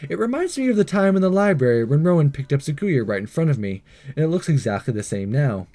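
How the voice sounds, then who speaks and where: A man's voice: fairly high pitch, very animated, quick pace, mellow. A man reading a visual novel aloud as a let's play host, stumbling over lines and re-reading them, with commentary. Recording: music behind the voice. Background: game music.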